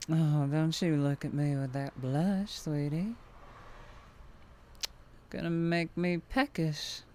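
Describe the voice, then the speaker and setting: A woman's voice: low-pitched, warm tone. A woman playing a tall, teasing, predatory vampire trucker with a Southern US accent and a flirtatious drawl, in an ASMR roleplay. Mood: flirty, teasing. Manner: slow teasing drawl with chuckles and pet names.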